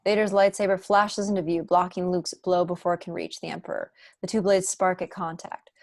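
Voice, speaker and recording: A woman's voice: on the low side, level tone, brisk, creaky. A young adult woman reading scripted stage directions as narrator at an online table read. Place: room at home, video call.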